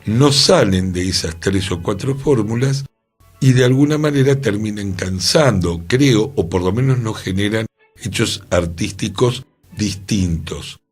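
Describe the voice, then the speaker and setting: Man, very deep voice, slow measured delivery, radio talk. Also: slightly rough, faint music behind. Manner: measured, reflective. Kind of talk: casual radio discussion. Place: radio studio.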